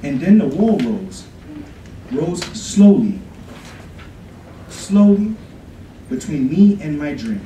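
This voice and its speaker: A man reciting a poem at a podium in a college lecture room, with slow, dramatic, swelling delivery. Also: on the high side, very animated, mellow. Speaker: student contestant. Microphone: podium mic from a far camera. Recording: echoey room, far mic, faint hiss.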